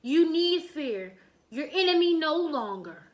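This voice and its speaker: A proud storybook lion played by a woman talks like a self-assured king. The voice is high in pitch, and the delivery is confident, brave and declarative. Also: very animated, slow, heavily acted.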